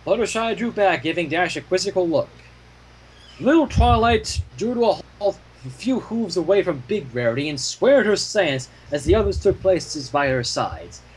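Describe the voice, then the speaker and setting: A man reading fan fiction aloud as an expressive narrator, with casual asides. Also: high-pitched, moderate pace, a little hiss or hum, low-quality recording.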